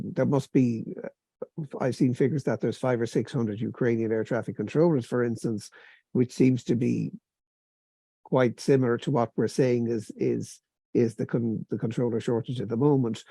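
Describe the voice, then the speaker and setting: A man's voice: medium pitch, vocal fry, mellow, husky, no room sound. A man moderating a panel discussion in a conversational way.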